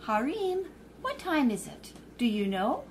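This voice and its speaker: An actress playing a poised young woman sleuth in a 1930s-style radio drama, polite and quick-witted, then indignant. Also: high voice, wildly swooping pitch, slight background noise, full character voice. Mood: charming.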